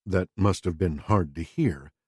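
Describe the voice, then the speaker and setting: A man voicing a calm interviewer who asks flat, measured, terse questions. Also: low voice, husky, no room sound, clean studio sound. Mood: cool, probing.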